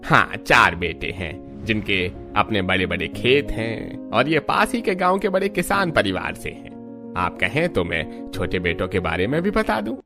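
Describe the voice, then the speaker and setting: A man's voice: fairly low pitch, huge pitch swings, a little slow, over music, full character voice. A man voicing an obliging, talkative village priest who arranges matches in a cartoon story. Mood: persuasive, obliging. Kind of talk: cartoon family drama dialogue.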